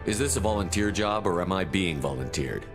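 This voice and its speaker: A resolute game hero, a military commander with a low voice. He talks quickly and bluntly, firm and wary, with music behind. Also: expressive. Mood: wary, challenging. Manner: direct, terse, firm. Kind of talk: cinematic video game dialogue.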